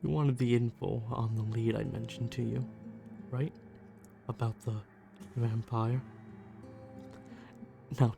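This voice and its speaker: A man playing a smug, condescending vampire who teases his prey with quiet menace in an ASMR roleplay. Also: mid-range voice, creaky. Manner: condescending, slow, teasing, menacing. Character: smug, teasing male vampire stalker toying with his hunter. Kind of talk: ASMR roleplay, acted monologue.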